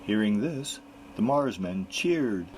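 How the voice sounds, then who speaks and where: A man reading a children's picture book aloud in an expressive storytelling manner. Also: fairly low pitch, slow pace, slight background noise. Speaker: reader.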